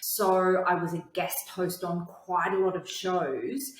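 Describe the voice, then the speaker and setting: A woman's voice: on the low side, steady tone, takes it slowly, reverberant, poor audio. A woman being interviewed as an entrepreneur and TV host, telling her story in an articulate, confident way.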